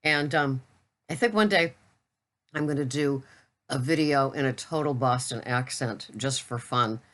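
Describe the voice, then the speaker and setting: A woman's voice: a bass voice, measured pace, a bit bright. An older woman vlogging in a chatty, rambling, self-deprecating way. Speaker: keto vlogger. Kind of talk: casual talking-head chat.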